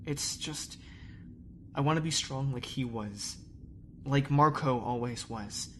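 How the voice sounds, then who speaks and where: Casting: actor as determined young male cadet, self-blaming, medium pitch, anime drama, faint background noise. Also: slow, fairly bright tone. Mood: troubled. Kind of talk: anime parody acting.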